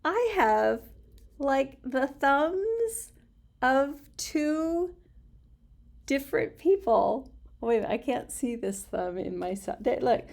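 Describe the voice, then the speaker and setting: Older woman with very creaky, gravelly voice. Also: sits high, drawn-out words. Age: older, around 60s. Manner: chatty, affectionate, expressive, giggly. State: tired, slightly punchy from little sleep. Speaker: storytelling vlogger. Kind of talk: casual, rambling personal chat to camera.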